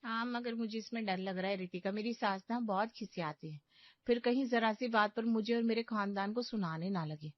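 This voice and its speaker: A woman's voice: medium pitch, normal speed, dry recording, muffled recording, close to an everyday voice. Woman playing an earnest young daughter-in-law. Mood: anxious. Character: anxious yet game young Indian daughter-in-law. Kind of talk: cartoon moral story acting.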